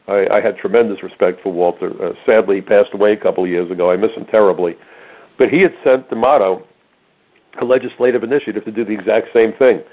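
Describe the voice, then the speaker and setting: A man's voice: fairly low pitch, fast. An older man hosting a radio talk show, telling stories emphatically with sarcastic asides. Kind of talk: conservative talk radio monologue. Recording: narrow phone-like radio audio.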